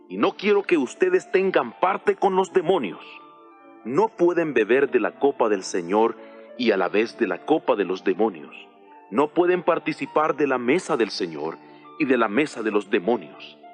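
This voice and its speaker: A man playing the Apostle Paul as an earnest, solemn teacher exhorting his congregation in a dramatized audio Bible, with music behind him. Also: mid-range voice. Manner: solemn, exhortative, deliberate phrasing.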